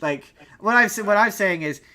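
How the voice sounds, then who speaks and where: A man's voice: sits high, lively intonation, normal speed, bright, forceful tone. A man co-hosting a podcast, talking casually and opinionatedly about film in an emphatic, rambling, argumentative way. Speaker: podcast co-host.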